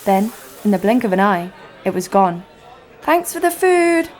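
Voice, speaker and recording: A woman's voice: mid-range voice, big pitch swings, unhurried, a little mellow. A woman playing a cocky, foul-mouthed adventurer, narrating and speaking in an audio drama with a sardonic manner. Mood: irritated, brazen. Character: brash, sarcastic, foul-mouthed female adventurer narrating her exploits. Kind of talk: first-person audio drama narration and dialogue.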